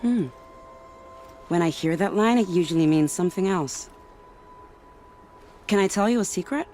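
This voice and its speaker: Actress as a low-voiced, sly woman spy. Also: a little mellow, close to an everyday voice. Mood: sly, playful. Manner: arch, dramatic, measured. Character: sly, poised woman spy, playful yet fiercely vengeful. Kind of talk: video game cutscene acting. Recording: music behind the voice.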